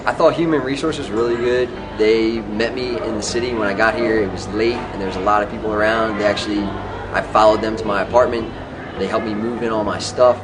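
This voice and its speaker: A man with a lowish voice answers interview questions in a plain, matter-of-fact way. Music plays clearly behind him. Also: steady tone, a little fast. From Baltimore, Maryland.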